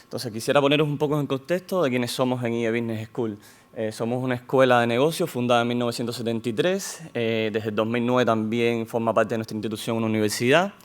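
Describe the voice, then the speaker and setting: A man with a foreign accent giving a measured technical talk at a conference, pausing often with fillers and restarting his sentences. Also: mid-range voice, steady tone. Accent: non-Spanish accent in Spanish. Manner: measured, with frequent hesitations and restarts. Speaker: conference speaker. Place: conference hall.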